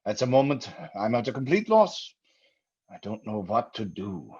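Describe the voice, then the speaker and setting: Grave occult scholar for vintage radio horror: husky, fretful, deliberate, on a dull lo-fi recording. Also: male voice, medium pitch, big pitch swings, a little mellow. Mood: grave, troubled. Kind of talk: old-time radio drama acting. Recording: muffled old radio recording.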